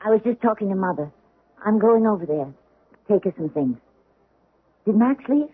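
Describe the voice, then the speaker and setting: A woman's voice: medium pitch, expressive, moderate pace, slight background noise, close to an everyday voice. A woman playing a troubled stage actress and dutiful daughter in a 1970s radio drama, speaking earnestly and theatrically. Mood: calm, persuasive. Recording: muffled old radio broadcast.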